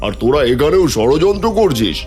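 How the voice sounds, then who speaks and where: A man's voice: on the high side, sing-song, a little fast, a little mellow, faint music behind. A man voicing an earnest, dramatic village man in a cartoon, with exclamatory delivery. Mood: reproving. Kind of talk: Bengali cartoon voice acting.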